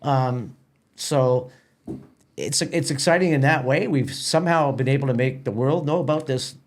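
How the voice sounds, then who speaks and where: Male podcast guest, mid-range voice, halting and repetitive, casual organizer explaining things. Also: mid-range voice. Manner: halting, repeats words. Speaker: guest, theatre festival organizer.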